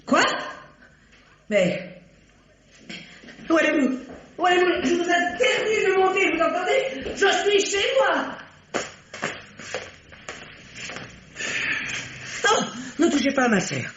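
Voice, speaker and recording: An actress playing a middle-aged, bossy and protective elder sister in an old radio mystery, with theatrical, emphatic delivery. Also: female voice, very high voice, very animated, a crowd in the background, full character voice. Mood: indignant. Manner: theatrical, emphatic, quick to argue. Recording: dull, lo-fi vintage radio recording.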